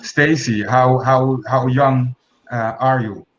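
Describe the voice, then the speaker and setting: A man's voice: medium pitch, fairly even intonation, takes it slowly. A man in his forties hosting an online round table, talking hesitantly with many fillers and restarts over a video call. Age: 40s. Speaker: host. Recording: echoey room, dull lo-fi sound.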